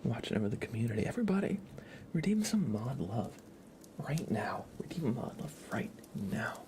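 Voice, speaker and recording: A man's voice: medium pitch, very animated, normal speed, mellow, slight background noise. A man hosting an ASMR livestream, chatting casually and playfully with viewers in a whispery, slightly stuffed-up voice close to the mic. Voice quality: stuffy nose. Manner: whispery, playful, teasing banter.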